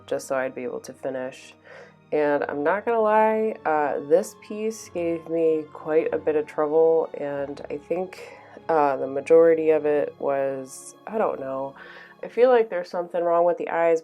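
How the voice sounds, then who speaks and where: A woman's voice: low voice, a little mellow. A young woman with a cold and a nasal voice, chatting casually in a voice-over while she paints, with lots of ums and small laughs. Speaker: art channel host.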